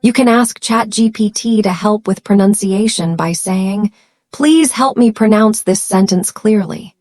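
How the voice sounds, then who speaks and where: A woman's voice: medium pitch, a little slow, darkish tone, no echo. Woman narrating a tutorial, dry close sound. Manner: simple short sentences, encouraging. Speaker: English teacher and channel host. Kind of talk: scripted beginner tutorial narration.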